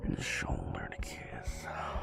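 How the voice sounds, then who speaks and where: A man playing an amorous, teasing vampire lover in an intimate romantic audio roleplay, murmuring slowly in a breathy, gravelly whisper with soft hums. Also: a bass voice, expressive, over loud music, exaggerated voice. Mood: smitten, teasing. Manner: slow, murmuring, lingering over words with soft hums.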